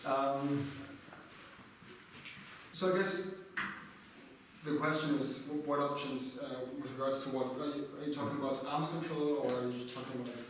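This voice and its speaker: A man on a panel answers questions in a flat, even tone, halting often and filling pauses as he goes. He sits well back from the mic in a large conference room, and the sound carries a heavy echo. A faint hiss runs under everything. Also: mid-range voice. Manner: hesitant, many fillers and restarts. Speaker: panel expert on arms control. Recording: echoey hall from far off, faint noise.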